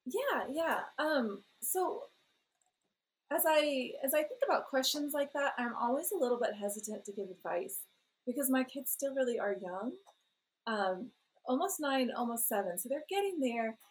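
A woman's voice: sits high, animated, moderate pace, rough recording. A woman in her thirties, a parent and blogger, talking in a rambling, self-deprecating way and laughing often. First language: English. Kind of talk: relaxed conversational interview.

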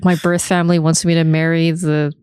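A woman's voice: deep voice, level tone, fairly quick, darkish tone, clear, resonant tone. A woman co-hosting a podcast, giving her opinions on anime in an informal, chatty way. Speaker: podcast co-host. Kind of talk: casual anime discussion.

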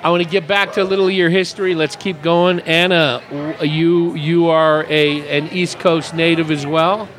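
A man's voice: on the high side, steady tone, moderate pace, few pauses, a bit bright. A man in his fifties hosting a casual chat in a café, joking and rambling through stories. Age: 50s. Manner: jokey, rambling storyteller. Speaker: podcast host.

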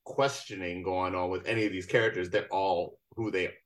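A man co-hosting a casual film podcast with a somewhat low voice. He talks in a halting way, stopping and starting with lots of "like" and "uh". The tone stays loose and conversational. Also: fairly even intonation. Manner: halting, many fillers and restarts.

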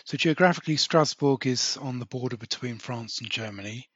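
A man hosting a podcast interview who asks relaxed follow-up questions and sums up what he hears. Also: mid-range voice, brisk, slightly rough, no echo, dull audio. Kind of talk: casual conversational interview.

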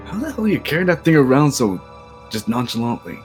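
A man's voice: mid-range voice, fast talker, soft background music. A man playing a tabletop role-playing game, joking and slipping in and out of his character. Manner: joking, animated, slips in and out of character. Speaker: player. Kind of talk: casual tabletop role-play.

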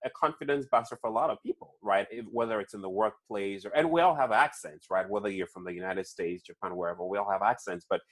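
A man's voice: lowish voice, fast, few pauses. A man with a non-native English accent, hosting a casual conversation, often repeating words and checking in with "right?". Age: young kids, adult. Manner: frequent restarts and "right?" tags. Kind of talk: conversational interview.

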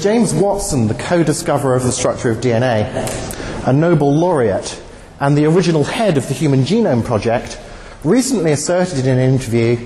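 A male philosopher lecturing in a hall, his voice a little croaky and mellow in tone. He talks with lively, rising and falling pitch and wry asides. The hall adds a long reverb, with faint noise underneath. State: slightly croaky voice. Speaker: guest speaker, philosopher. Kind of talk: academic lecture. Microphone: handheld microphone.

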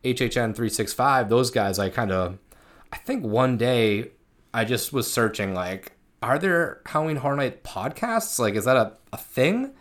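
A man's voice: medium pitch, expressive, normal speed, fairly bright tone. A man co-hosting a fan podcast, chatting informally in a casual, self-deprecating way. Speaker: co-host of a horror attraction fan podcast. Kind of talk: casual chat.

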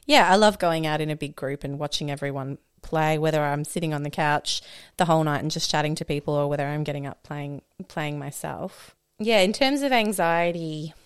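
A woman's voice: sits low, brisk, clean tone, no room sound, studio quality. A woman in her early thirties chatting casually as a podcast panelist. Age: 30s. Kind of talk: casual chat.

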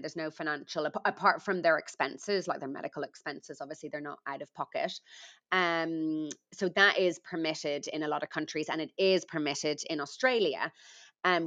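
A woman's voice: deep voice, a bit bright. A middle-aged woman with an Irish accent, a podcast guest explaining things carefully in a conversational interview, with frequent ums. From Ireland.

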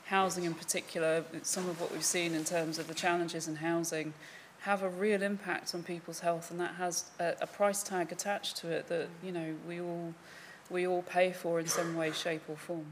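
Woman, lowish voice, council panelist, earnest and measured, faint hiss behind. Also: level tone. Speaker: panelist working in a local council. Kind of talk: conference panel discussion.

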